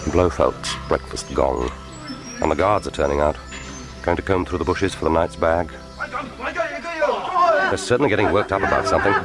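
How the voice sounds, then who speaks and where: An actor playing a vengeful, sardonic lone secret agent thinking aloud in a radio play. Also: male voice, low voice, moderate pace, long pauses, lightly acted. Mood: grimly watchful. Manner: muttering internal monologue, sardonic. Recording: loud music behind the voice.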